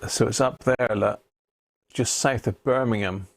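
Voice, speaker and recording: Male streamer, informal and unhurried, with a creaky edge and dry sound. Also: medium pitch, steady tone, dry recording. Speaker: live stream host. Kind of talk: casual live chat, hobby radio demonstration.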